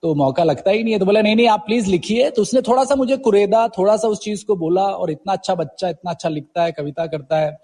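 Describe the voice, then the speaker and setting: A man in his forties from Uttarakhand with a husky voice, telling his story conversationally as an interview guest, mixing in English words. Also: sits high, fast talker, hardly any pauses, warm tone, long reverb tail. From Uttarakhand, India. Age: 40s. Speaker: guest poet.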